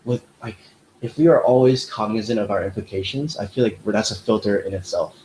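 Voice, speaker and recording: Animated young man with low voice. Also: a little fast, slight background noise, low-quality recording. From United States. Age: young adult. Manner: animated, rambling, many fillers. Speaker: podcast host. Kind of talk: casual chat.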